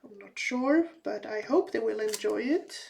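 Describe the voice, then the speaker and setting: A woman chatting casually while crafting, her voice slightly raspy from a recent cold, with many fillers and restarts. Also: a little high, lively intonation, normal speed, a little mellow, slight background noise. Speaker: crafting vlogger.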